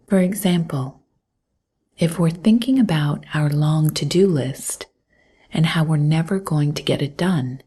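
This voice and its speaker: A woman guiding a mindfulness meditation in a soft, breathy voice, gently and calmly, with long pauses between instructions. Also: sits low. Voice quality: soft, breathy, often near a whisper. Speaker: meditation guide. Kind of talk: guided mindfulness meditation, scripted narration.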